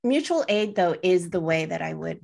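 A woman's voice: on the low side, animated, moderate pace, creaky, darkish tone. A woman co-hosting a podcast in casual conversation, with a soothing voice, talking in meandering, self-correcting sentences full of fillers. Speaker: podcast co-host.

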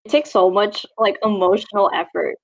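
A woman's voice: medium pitch, steady tone, moderate pace, poor audio. A young woman in casual podcast chat, thoughtful and full of "like" fillers.

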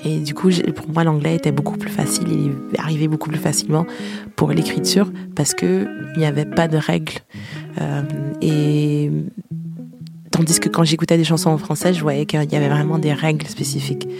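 Québécois woman in her 30s, gravelly grain, chatty with frequent laughs, music playing behind. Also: deep voice, level tone, normal speed. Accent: Québécois French. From Quebec, Canada. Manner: chatty, laughs often, hums tunes. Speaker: singer-songwriter interviewee. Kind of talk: casual recounting of memories.

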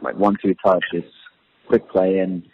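A young man, a professional footballer, answering interview questions in a relaxed, hesitant way with many ums. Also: sits low, normal speed, a little hiss or hum. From Australia. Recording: tinny phone-line audio.

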